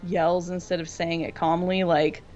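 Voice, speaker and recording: A woman's voice: on the low side, level tone, normal speed, darkish tone, faint music behind. A woman co-hosting a podcast in casual, wry, informal discussion. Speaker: podcast co-host. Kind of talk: casual pop culture discussion. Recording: dull, noisy room recording.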